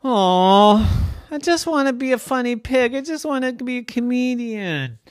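A woman voicing an eager young female pig who wants to be a comedian, in a squeaky, pleading, chatty way, for a bedtime story. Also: lively intonation, a little slow, dry recording, studio quality.

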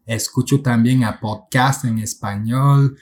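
A man's voice: mid-range voice, steady tone, slow pace, darkish tone. A man from Quebec in his late thirties, a native French speaker with a Quebec French accent, chatting as a guest and often repeating words as he searches for them. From Quebec, Canada. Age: late 30s. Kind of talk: casual interview chat.

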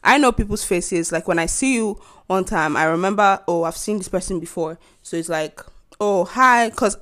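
A young woman in her twenties with a Nigerian accent, hosting a solo podcast and venting in a casual, animated way about rude remarks and being overcharged. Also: fairly low pitch, normal speed. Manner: animated, indignant storytelling with mocking quoted voices. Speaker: podcast host.

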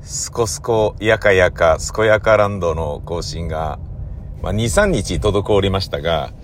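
A man hosting a casual solo podcast, talking in halting, drawn-out phrases that keep checking for agreement. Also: sits low, slight background noise. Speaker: host of a regular podcast, radio drama director.

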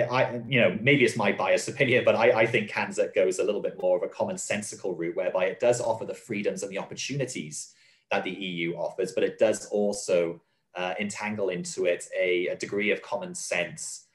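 A man explaining policy in an interview, earnest and measured, often restarting and repeating phrases. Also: sits low. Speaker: guest, advocacy spokesman.

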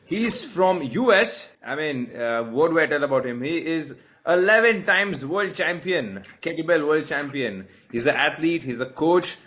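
A man's voice: on the high side, animated, measured pace, hardly any pauses, fairly bright tone. A man hosting a casual interview show, talking emphatically and repeating words for effect.

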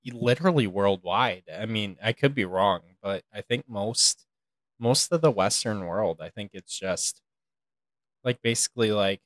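A man's voice: fairly low pitch, unhurried, no room sound. A young man co-hosting a casual chat, joking in a dry, wry way and sounding tired after a long day. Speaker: podcast co-host.

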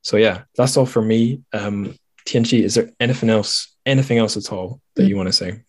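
Low-voiced man hosting a casual podcast. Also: moderate pace, breathy edge. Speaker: podcast host. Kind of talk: casual podcast chat and outro.

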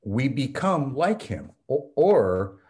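Pastor guy explaining theology on a podcast, earnest, lots of ums, middle pitch. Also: very animated, slow pace, a little mellow. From United States. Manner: earnest, teaching tone with frequent ums. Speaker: theology professor and pastor, guest. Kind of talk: conversational podcast interview.

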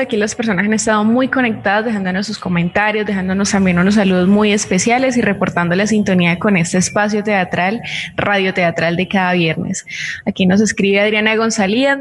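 A woman's voice: mid-range voice, a little hiss or hum. A woman co-hosting a radio programme, reading out audience messages in a presenter's style. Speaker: co-host reading audience comments.

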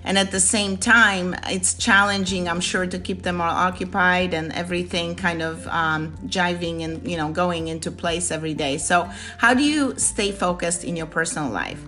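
A woman's voice: on the low side, moderate pace, few pauses, sharp tone, soft background music. A woman from Poland hosting a podcast, interviewing a guest with upbeat enthusiasm. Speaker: podcast host.

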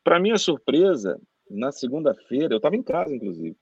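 A man's voice: mid-range voice, very animated, a little fast, slightly rough, dry recording. A Brazilian man, a voice-over announcer, telling stories as a guest in a casual interview, chatty and full of restarts. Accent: Brazilian Portuguese. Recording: dull dry lo-fi sound.

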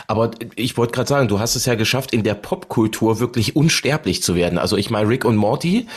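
A man's voice: on the low side, quick pace, husky. A man hosting a casual podcast interview, chatty and often affirming what he hears.

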